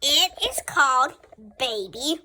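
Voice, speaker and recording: A young girl reading a picture book aloud as host, in a careful, halting way. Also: high voice, expressive, sharp tone, slight background noise. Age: child. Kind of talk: storybook read-aloud.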